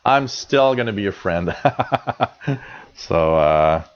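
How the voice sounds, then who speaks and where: A man's voice: deep voice, expressive, takes it slowly, clear, resonant tone. Rambling Canadian man on dull lo-fi audio. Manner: chatty, rambling, many fillers and restarts. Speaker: radio show host reading chat. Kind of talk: casual live chat.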